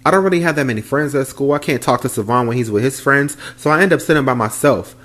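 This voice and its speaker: A man's voice: mid-range voice, fast, a bit bright, close to an everyday voice. A man voicing a sixteen-year-old boy in a comedy skit, whiny and pleading with his mother. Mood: mopey. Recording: roomy echo.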